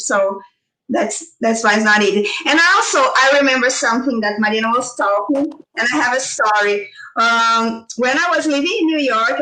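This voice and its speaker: High, bright Brazilian woman on a video call. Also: echoey room, recorded from a distance, rough recording. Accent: Brazilian Portuguese. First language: Portuguese. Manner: hesitant, searching for words, restarts. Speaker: tarot teacher, panel guest. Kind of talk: casual online panel chat.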